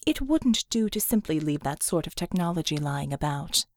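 A woman's voice: low-pitched, big pitch swings, normal speed, darkish tone, no room sound. Woman narrating fiction, close and dry. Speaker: audiobook narrator.

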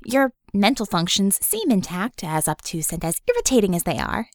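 A woman voicing a sarcastic female medic, quick, wry and clinical. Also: medium pitch, huge pitch swings, no room sound, clean studio sound. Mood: brisk.

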